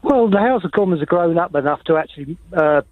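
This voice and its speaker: A man calling in to a radio show, speaking in a hesitant, halting way. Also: a little high, animated, no echo. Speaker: caller. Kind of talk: phone-in call. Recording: dull phone line.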